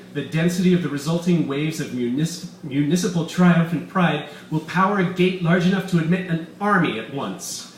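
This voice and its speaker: A man's voice: on the high side, pitched above the performer's own voice, natural voice. A man voicing a gloating, grandiose mad-scientist villain in a live story reading, with pompous, theatrical delivery. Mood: gleeful. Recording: roomy echo, distant mic, faint room noise.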